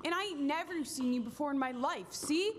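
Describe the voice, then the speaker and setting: Woman playing a wary, higher-voiced cabbie. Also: fairly quick, a little hiss or hum, close to an everyday voice. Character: wary, sour male taxi driver. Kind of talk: live radio play reading. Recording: reverberant school auditorium stage. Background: music.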